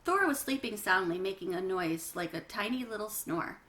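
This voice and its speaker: A woman's voice: mid-range voice, animated, moderate pace, bright, forceful tone. A woman reading a children's book aloud, narrating with chatty asides to young listeners. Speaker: children's read-aloud reader.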